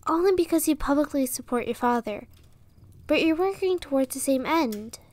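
Fantasy audio drama: brave young commoner girl voiced by a woman, high-pitched, earnest, pleading. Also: animated, moderate pace, darkish tone, dry recording. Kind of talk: fantasy audio roleplay acting.

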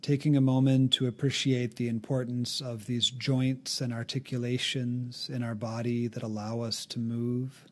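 A man narrating a guided meditation in a calm, gently instructive way, leaving long pauses between phrases. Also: mid-range voice.

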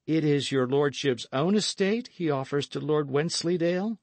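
A man's voice: fairly high pitch, moderate pace, a little mellow, no room sound, voice pushed up, natural voice. A man voicing a stern but fair-minded English earl in an audiobook, with formal, weighty, archaic speech. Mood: shocked, intense.

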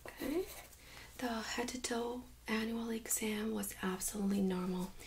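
Softly whispering young woman, ASMR exam. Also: a little high, steady tone, a little hiss or hum. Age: young adult. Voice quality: soft, breathy, mostly whispered. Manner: gentle, unhurried, reassuring. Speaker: ASMR host playing a medical examiner. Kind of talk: soft-spoken ASMR medical role-play. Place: small carpeted exam room, indoors. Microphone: USB microphone on a stand nearby.

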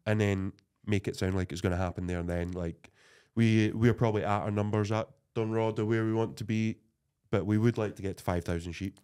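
A man's voice: on the low side, steady tone, brisk, few pauses, darkish tone, smooth. A Scottish man, a farmer guest on a podcast, telling stories in easygoing casual chat.

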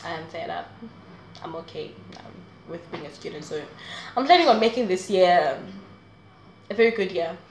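A woman's voice: fairly low pitch, lively intonation, normal speed, darkish tone, reverberant, a little hiss or hum, rough recording. A woman in her twenties talking straight to camera in a casual, rambling advice vlog, laughing often. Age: 20s. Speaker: vlogger. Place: small room indoors.

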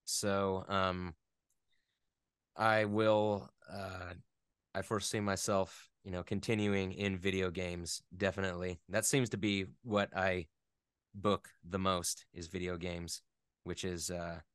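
Guy with a lowish smooth voice, casual interview chat, thinks out loud, really dry close sound. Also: level tone, no room sound. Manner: thoughtful, halting, searching for words. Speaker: interview guest, video game voice actor.

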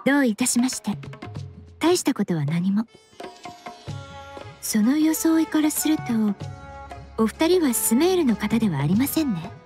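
An elegant young lady perfumer in an anime game. Her voice is high and soft-spoken, with courteous, formal phrasing and a poised air. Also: sing-song, moderate pace, long pauses, faint music behind. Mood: polite, gracious. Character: Emilie, polite, poised young female perfumer from Fontaine.

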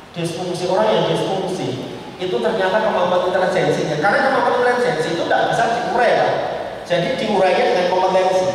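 A middle-aged man with a Javanese accent lectures as an Islamic preacher and psychologist. His voice sits high for a man, and he explains points through questions he answers himself. The sound is distant and very reverberant, with faint noise. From Indonesia. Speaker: Islamic preacher and psychologist giving a parenting talk. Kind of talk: public religious lecture, explanatory. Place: large indoor hall, seated at a podium table. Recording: echoey hall, distant mic, faint noise.

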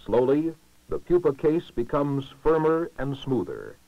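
A man reading scripted narration for a 1960s educational documentary, formal and measured, with clear enunciation. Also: on the high side, sing-song, dry recording. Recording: muffled lo-fi 1960s film soundtrack.

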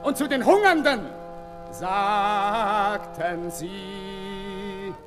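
An actor playing a bitter, accusing revolutionary orator, declaiming in a 1960s German radio play. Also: male voice, sits high, drawn-out words. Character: accusing male revolutionary orator denouncing priests. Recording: long hall reverb, music.

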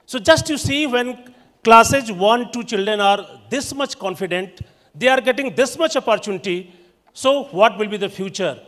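A middle-aged man with an Indian accent delivers a formal welcome address. His voice is high for a man, with emphatic phrasing, and it rings through a large auditorium's PA with long reverb. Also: expressive, normal speed, fairly bright tone. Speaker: school principal. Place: large indoor school auditorium stage. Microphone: handheld microphone.

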